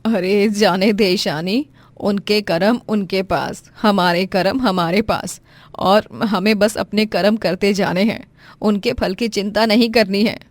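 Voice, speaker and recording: A woman playing a gentle, patient, self-sacrificing poor mother, earnest and sentimental, in a moral story. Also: mid-range voice, steady tone, measured pace, no room sound, lightly acted. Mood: patient, calm. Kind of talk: dramatised Hindi moral story.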